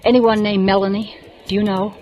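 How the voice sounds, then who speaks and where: A prim young librarian, as an actress plays her in an old radio drama. She speaks with precise, proper diction in a middling voice and turns quickly indignant. It sounds like a 1940s radio play. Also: medium pitch, level tone, mellow, a little hiss or hum, natural voice. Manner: primly precise, a little indignant.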